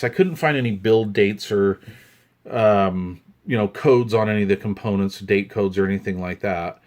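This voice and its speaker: A man in his fifties with a Western American accent, chatting in a rambling, conversational way as he shows off a hobby find. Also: lowish voice. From United States, Utah. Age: 50s. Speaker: hobbyist video host. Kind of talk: casual hands-on show-and-tell. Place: indoors at a wooden desk.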